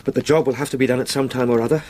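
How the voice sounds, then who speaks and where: An actor playing a shaken, stubborn young solicitor in a radio drama, hesitant yet formal and courteous. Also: male voice, mid-range voice, steady tone, very fast, a little mellow, a little hiss or hum, natural voice. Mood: shaken, earnest. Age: young adult.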